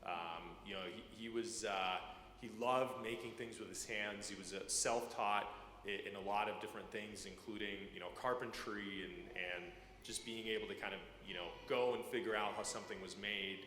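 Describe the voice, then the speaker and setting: A man in his thirties from Ukraine, speaking as a guest to a church congregation, halting with many fillers and repeated words. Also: on the low side, fairly even intonation, bright, forceful tone. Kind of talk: personal testimony to a church congregation. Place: church sanctuary. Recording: echoey church hall, faint room noise.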